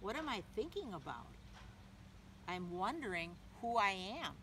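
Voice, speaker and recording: A woman reading a picture book aloud to young children, gently, in simple phrases, pausing to ask the listener questions. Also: mid-range voice, big pitch swings. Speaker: children's story reader, teacher. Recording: clearly noisy background.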